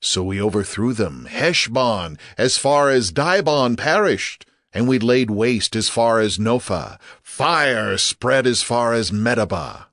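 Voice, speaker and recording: An actor playing a solemn ancient balladeer, reciting war verse in a grave, declamatory way. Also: male voice, mid-range voice, very animated, slow pace, hardly any pauses, fairly bright tone, no echo, clean studio sound, exaggerated voice. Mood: grave, triumphant. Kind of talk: dramatized scripture recitation.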